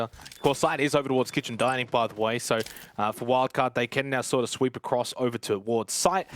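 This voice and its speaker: A man's voice: mid-range voice, a bit bright, a little hiss or hum. A man giving live commentary and analysis on an esports match.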